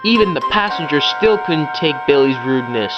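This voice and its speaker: A man's voice: fairly high pitch, expressive, a little fast, muffled recording, over music. A man reading scripted children's story narration as the narrator.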